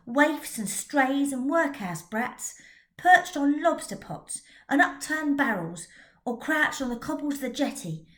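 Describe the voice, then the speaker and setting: A woman author reading her novel aloud, dramatic, dropping to hushed whispers for suspense. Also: on the high side.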